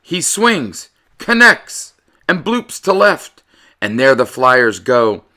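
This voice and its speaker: A man giving an expressive, dramatic read-aloud in the manner of a children's storyteller. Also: medium pitch, slow. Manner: animated, dramatic delivery.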